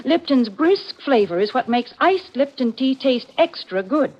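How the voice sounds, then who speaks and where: A dull vintage radio recording of a woman reading sponsor copy. Her voice swings brightly up and down in a polished, chirpy 1940s sales style. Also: high-pitched, lively intonation, a little slow. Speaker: sponsor's spokeswoman. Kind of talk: 1940s scripted radio advertising.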